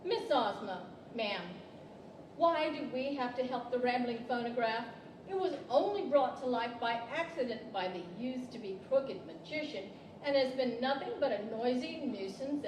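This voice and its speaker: A woman's voice: high voice, darkish tone. A woman playing an earnest young Munchkin child, polite but a little whiny, in an old radio-play style. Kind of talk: 1940s radio-style stage acting. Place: library stage, microphones on stands. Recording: echoey hall from far off, faint noise.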